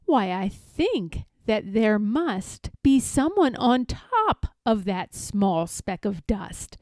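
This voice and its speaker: A woman voicing a kind, protective male elephant in a children's storybook, speaking gently and sincerely with emphasis. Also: mid-range voice, sing-song, slow pace, no room sound, studio quality. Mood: concerned. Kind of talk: children's storybook read-aloud.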